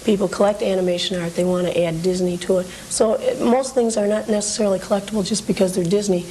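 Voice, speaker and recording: A woman's voice: mid-range voice, steady tone, quick pace, warm tone. A woman collector being interviewed, explaining items in an informal, matter-of-fact show-and-tell way. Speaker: memorabilia collector, interview guest. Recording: hissy, noisy old TV recording.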